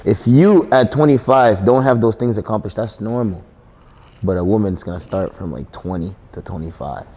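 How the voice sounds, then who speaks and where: A man's voice: fairly low pitch, moderate pace, warm tone, breathy edge, in a large hall. A young man in a casual group chat, insistently restarting phrases to hold the floor.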